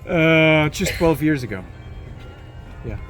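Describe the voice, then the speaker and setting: Belgian-accented man over clearly audible music. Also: a little high, expressive, slow, a bit bright. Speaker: carillonneur being interviewed. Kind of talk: casual interview reminiscence.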